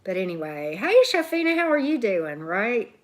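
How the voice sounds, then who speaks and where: A woman with a deep voice, hosting a tarot livestream as casual chat, greeting viewers by name and often tagging on "right?". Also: very animated, normal speed. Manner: chatty, keeps asking "right?", greets commenters by name.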